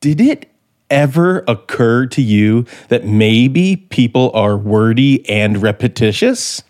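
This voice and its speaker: A bitter, sardonic American writer in a 1950s radio drama. He is intense and deliberate, speaking slowly with big swings of pitch and seething resentment. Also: lively intonation, darkish tone. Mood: bitter, indignant. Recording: vintage 1950s radio recording.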